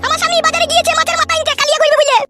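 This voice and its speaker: A woman voicing a squeaky, shrill, foul-mouthed cartoon wife who quarrels and taunts. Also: level tone, racing, over music. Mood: scolding, combative. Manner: combative, taunting.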